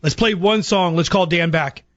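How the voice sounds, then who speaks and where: A man's voice: sits high, normal speed, fairly bright tone, lo-fi sound. A man hosting a radio phone segment with casual, informal banter, often repeating himself as he goes. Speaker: radio show host.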